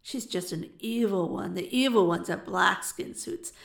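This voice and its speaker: A woman's voice: medium pitch, unhurried, vocal fry. A woman voicing a gleeful, bullying male rogue in a story, with folksy, taunting, howling delivery. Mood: roaring, scornful. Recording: roomy echo.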